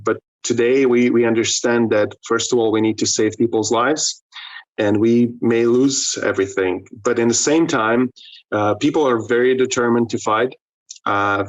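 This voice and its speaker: A man, a pastor speaking in a remote news interview, halting with frequent restarts and fillers. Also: mid-range voice, no echo, highs cut off. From Ukraine. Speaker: pastor and missionary. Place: in Lviv, Ukraine, remote link.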